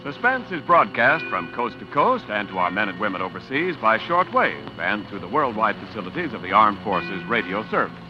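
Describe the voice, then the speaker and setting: Man, announcer, polished, 1940s radio, faint music behind. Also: lowish voice. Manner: polished, salesman-like. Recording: dull old radio broadcast recording.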